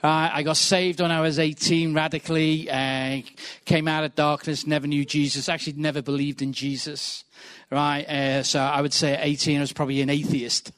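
Fast-talking Liverpool preacher. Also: male voice, on the high side, level tone, fairly bright tone, clean tone, dry recording. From England. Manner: quick, chatty, joking, punctuates with "right". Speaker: guest preacher. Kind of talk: sermon.

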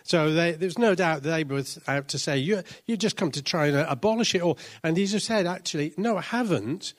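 A man preaching a sermon in a church, explaining scripture in a thoughtful way with frequent pauses and restarts. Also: a little high, lively intonation.

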